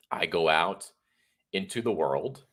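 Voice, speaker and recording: Congested man with a low voice. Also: fairly bright tone, husky. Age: 30s. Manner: chatty, rambling, opinionated. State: congested and a little tired. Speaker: political livestream host. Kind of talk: casual solo livestream monologue.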